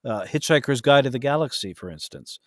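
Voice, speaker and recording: A man in a casual podcast conversation, explaining things and giving his opinions in an animated way. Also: mid-range voice, fairly quick, no room sound, clean studio sound. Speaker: podcast guest, voice actor.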